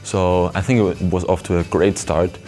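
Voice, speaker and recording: Young male interviewee, very deep voice, chatty and rambling. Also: flat delivery, moderate pace, mellow, soft background music. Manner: chatty, with many fillers and restarts. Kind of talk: casual interview answer.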